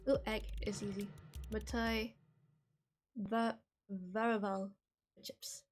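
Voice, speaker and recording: A woman's voice: medium pitch, little pitch movement, slow pace, soft background music. A woman with a Geordie accent chatting casually while streaming a game, rambling with lots of "like" fillers and poking fun at herself. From North East England. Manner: rambling, many "like" fillers, self-deprecating. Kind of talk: casual chat while gaming, reading chat.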